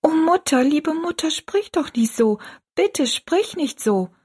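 A woman voicing an anxious, loving young daughter in a story, with a soft, childlike, pleading way of talking. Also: lively intonation, slow pace. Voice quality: high, soft.

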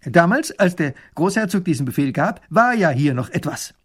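A man's voice: fairly high pitch, big pitch swings, normal speed, breathy edge, voice pushed up. A man voicing a proud, literal-minded soldier who answers in clipped, dutiful military phrases. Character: eager, literal-minded, proud young male guard.

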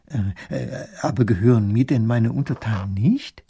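A man's voice: mid-range voice, normal speed, creaky, dark tone, breathy edge. A man voicing a naive, curious little grand duke who stammers through his questions.

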